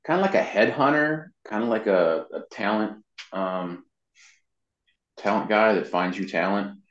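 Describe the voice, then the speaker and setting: A man's voice: fairly low pitch, unhurried. An American man in his fifties chatting casually as an interview guest, rambling a little with self-deprecating humour. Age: 50s. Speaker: interview guest, web marketing professional. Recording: muffled lo-fi sound in echoey room.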